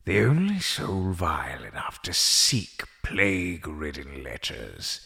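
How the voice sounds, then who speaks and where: A man's voice: low-pitched, very animated, slow, creaky, bright, forceful tone, full character voice. A man playing a grim, contemptuous accuser at a fantasy court in an audio drama, speaking theatrically. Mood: cold accusation.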